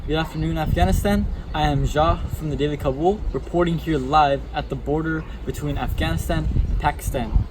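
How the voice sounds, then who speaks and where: A man's voice: fairly high pitch, brisk, few pauses, close to an everyday voice. A man playing a serious live news correspondent in an amateur mock report. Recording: noisy amateur recording, clear background noise.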